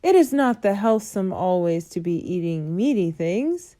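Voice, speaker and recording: A kindly big giant , voiced by a woman storyteller, coaxes along in innocent, jumbled grammar full of invented words. The voice rises high and lively with a warm, mellow tone. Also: unhurried, no echo, clean studio sound. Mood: coaxing, playful. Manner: playful, innocent, mangled grammar and made-up words. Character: kindly male giant, gentle and clever.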